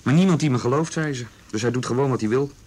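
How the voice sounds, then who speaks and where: An actor in a radio drama playing an uneasy, earnest schoolboy witness who speaks hesitantly and respectfully. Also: male voice, mid-range voice, fast talker, darkish tone, natural voice. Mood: uneasy recounting. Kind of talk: Dutch radio drama acting.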